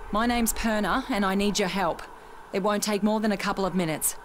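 Actress as determined woman survivor, medium pitch. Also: fairly quick, noisy background, close to an everyday voice. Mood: pleading, polite. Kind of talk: video game voice acting.

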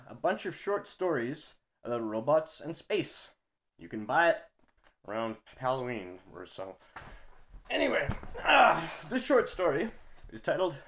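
A young man reads his own short story aloud in a slightly high voice that rises and falls a great deal. His telling is dry and emphatic, leaning hard on repeated words. He records in a small wood-paneled room on a webcam close by. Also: a bit bright. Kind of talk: reading aloud.

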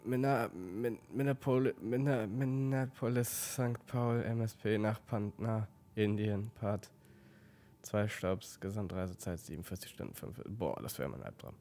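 A man with a slight cold giving relaxed let's play commentary, stumbling now and then while reading game text aloud. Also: lowish voice, a little slow, a little hiss or hum. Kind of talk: casual gameplay commentary.

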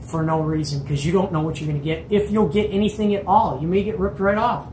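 A middle-aged man telling a casual story to camera as host, in an animated, emphatic, colloquial way. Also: sits high, fast. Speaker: host of a CB radio show. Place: small indoor hobby radio room. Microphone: camera or phone at arm's length. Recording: roomy echo, background noise.

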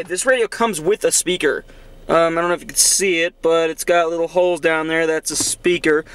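A man's voice: fairly high pitch, a bit bright, clear, resonant tone. A young man in his late teens giving a casual, unscripted hands-on demonstration, recorded on a handheld camera inside a car. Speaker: hobbyist vlogger demonstrating his CB radio. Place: inside a car, outdoors parking area. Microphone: handheld camera's built-in mic. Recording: noisy camera audio with vehicle ambience.

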